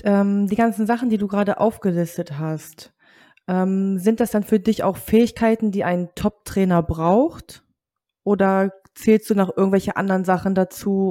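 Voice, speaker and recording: A woman's voice: fairly low pitch, warm tone, dry recording, studio quality. A young woman, a judo athlete hosting a casual podcast interview.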